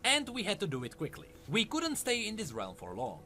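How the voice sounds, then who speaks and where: A man's voice: high voice, huge pitch swings, brisk, bright, forceful tone. A man delivering scripted narration as the narrator of a lore video.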